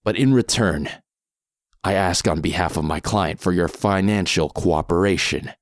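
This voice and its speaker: Guy doing a calm menacing masked merc, low voice, super dry sound, measured audio drama delivery. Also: no room sound, close to an everyday voice. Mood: cool, assured. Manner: measured, unhurried, coolly threatening. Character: calm masked mercenary man, cool and menacing.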